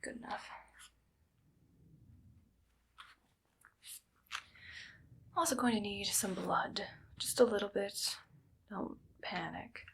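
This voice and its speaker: A woman playing an evil, vain, paranoid doctor in an ASMR roleplay, speaking in a soft, hushed whisper with a calmly menacing manner. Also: mid-range voice, normal speed, lightly acted. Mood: coolly threatening. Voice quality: soft, whispery, with creaky fry.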